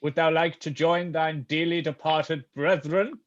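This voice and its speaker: A man's voice: a little high, steady tone, unhurried, lo-fi sound, close to an everyday voice. A man playing a haughty, menacing troll king who eats humans, speaking in archaic, theatrical threats for a folktale radio play.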